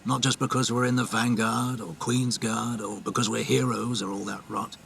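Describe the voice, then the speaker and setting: A man's voice: mid-range voice, flat delivery, normal speed, vocal fry, a bit bright, slight background noise. A man voicing a weary but resolute fighter in a game monologue, earnest and plain-spoken, reflective and understated. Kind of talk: dramatic video game monologue.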